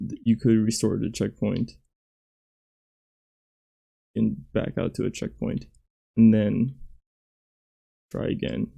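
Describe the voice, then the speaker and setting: Hoarse man with a gravelly voice. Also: lowish voice, warm tone. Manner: halting, self-critical, with many fillers. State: hoarse, losing his voice. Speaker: game designer commenting on his level. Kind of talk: gameplay commentary.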